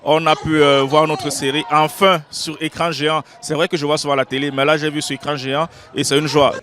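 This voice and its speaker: A man, a film director, answering an interview outdoors at a festival in a proud, even tone with some hesitations. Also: fairly high pitch, a little fast, a little hiss or hum. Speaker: series director.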